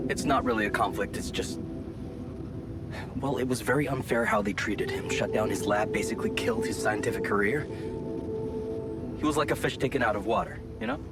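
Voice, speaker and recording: A man's voice: medium pitch, lightly acted. A man acting in a video game cutscene as an anxious, earnest scientist colleague, with hesitant, stammering conversational delivery. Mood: reassuring. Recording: music playing behind the voice.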